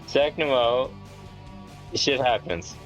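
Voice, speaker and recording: A man's voice: mid-range voice, slow, lo-fi sound. A man chatting casually over a video game, arguing his case point by point. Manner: argumentative, point-by-point reasoning. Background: game music.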